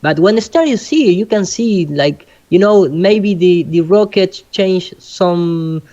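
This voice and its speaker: A man with a Spanish accent explains things informally in halting, non-native English, often searching for words. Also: sits high, animated, warm tone. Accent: Spanish-speaking. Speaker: guest speaker. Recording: dull lo-fi online call audio.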